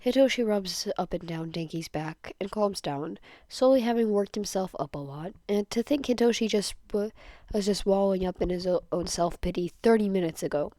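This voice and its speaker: A woman's voice: medium pitch, moderate pace, mellow, dry recording. Woman reading a story aloud, dry close sound. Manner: stumbles over words and rereads them. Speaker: fan fiction podfic reader. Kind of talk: scripted story narration, read aloud.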